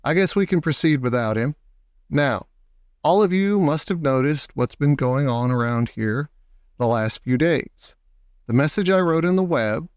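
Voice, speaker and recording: Man voicing a brisk, bossy storybook spider lady. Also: medium pitch, no room sound, studio quality. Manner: orderly, slightly impatient, articulate. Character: female spider, brisk bossy meeting leader.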